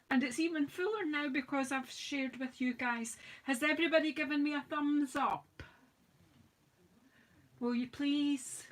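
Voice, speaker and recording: A woman with a slightly croaky voice, chatting and rambling as she hosts a live craft demonstration. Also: high voice, a bit bright. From United Kingdom. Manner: chatty, rambling, self-deprecating, talks while working. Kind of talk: live-stream craft demo chat.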